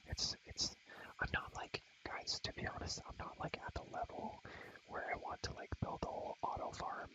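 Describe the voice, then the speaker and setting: Young man in a breathy, bright whisper. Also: normal speed, rough recording. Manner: rambling, repeats words and phrases, slangy. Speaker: ASMR creator showing a Minecraft world. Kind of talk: whispered ASMR, casual gaming chat. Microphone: close microphone.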